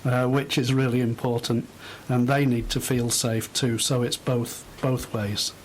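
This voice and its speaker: A middle-aged man giving thoughtful, halting answers as a guest in an unscripted radio interview. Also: mid-range voice, little pitch movement, a little hiss or hum, rough recording. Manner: hesitant, thoughtful, halting phrases. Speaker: interview guest, volunteer host.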